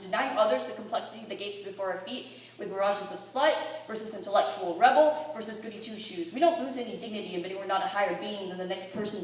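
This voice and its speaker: A teenage girl performing spoken-word poetry with earnest, dramatic, rhythmic delivery. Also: medium pitch. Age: teens, fifteen or sixteen. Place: library room with a live audience. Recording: very echoey room, distant mic, faint noise.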